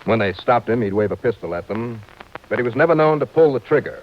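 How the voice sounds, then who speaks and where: An American man playing a cool, confident insurance investigator in a radio detective drama, with a brisk, wry hardboiled delivery. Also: medium pitch, expressive, close to an everyday voice. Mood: firm, familiar. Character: cool, confident male insurance investigator narrating his own cases. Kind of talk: 1950s-60s radio detective acting. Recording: muffled old radio broadcast with music.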